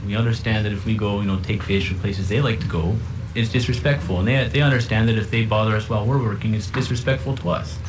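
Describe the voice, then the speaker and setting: A man giving public testimony as a commercial fisherman in a halting, plain-spoken way. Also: mid-range voice. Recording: hearing room with clear background noise.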